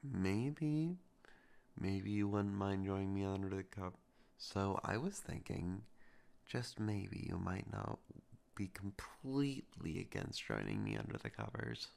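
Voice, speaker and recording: A man performing a romantic ASMR roleplay to the listener, soft, intimate and teasing with a possessive edge. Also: animated, unhurried. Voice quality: low gravelly voice with heavy vocal fry.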